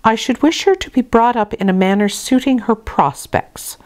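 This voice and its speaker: A woman voicing a cold, haughty, disapproving gentlewoman with formal, imperious speech in a storybook reading. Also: on the high side, moderate pace, voice pushed up, natural voice. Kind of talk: dramatized audiobook reading.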